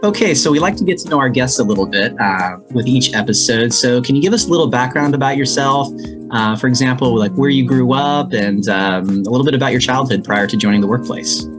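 A man works as a podcast host, presenting scripted lines and putting questions conversationally. His voice sits at an ordinary middle pitch, and music with guitar plays behind the recording. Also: animated, a little fast.

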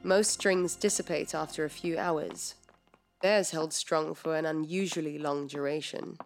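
A woman's voice: sits low, steady tone, unhurried, faint music behind. An actress playing an earnest, precise female scientist in an audio drama, explaining things formally and carefully.

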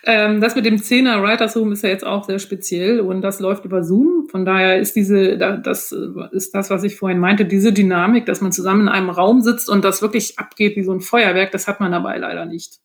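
Woman, interview guest, medium pitch, frank, explanatory, conversational. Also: fairly even intonation, fairly quick, hardly any pauses. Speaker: guest, screenwriting and audio drama author.

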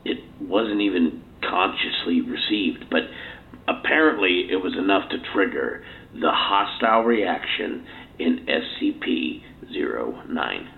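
An actor playing a grim, agitated scientist in an audio drama, explaining an incident with urgent emphasis. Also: male voice, sits low, animated, unhurried, gravelly, full character voice. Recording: tinny interview tape with hiss.

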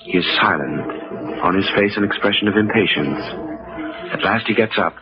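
An actor playing an ominous narrator of 1940s radio mystery tales, speaking theatrically with portentous, dramatic pauses. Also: male voice, fairly low pitch, natural voice. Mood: suspenseful. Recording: dull old 1940s radio recording, loud music.

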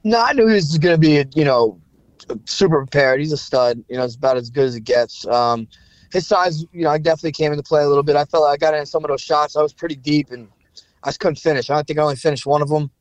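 A man in his thirties, a guest fighter chatting casually, halting and repeating words with many fillers. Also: a little high, dry recording, highs cut off. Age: 30s. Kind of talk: casual podcast chat.

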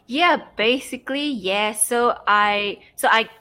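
Bright-voiced young woman, easygoing. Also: on the high side, takes it slowly. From Singapore. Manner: relaxed, with many fillers like "um" and "like". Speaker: travel blogger guest. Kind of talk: casual interview chat.

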